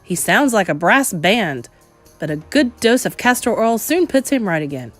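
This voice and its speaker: A pompous middle-aged man bellows in indignation, put on by a woman narrator. His voice sits raised and loud throughout this storybook scene. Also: mid-range voice, sing-song, moderate pace, soft background music. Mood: blustering, outraged.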